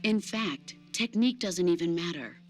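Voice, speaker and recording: An actress playing a stern, mature female soldier and mentor in a video game, speaking in a firm, deliberate, commanding way. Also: fairly low pitch, expressive, soft background music, natural voice. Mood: firm, didactic. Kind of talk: video game cutscene acting.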